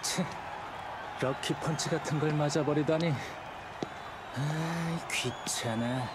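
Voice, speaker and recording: An actor playing a brash young martial arts fighter in a video game, with a cocky, taunting way of talking. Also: male voice, a little high, very fast, heavy background noise, close to an everyday voice. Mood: grudging. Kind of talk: video game cutscene acting. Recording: loud music behind.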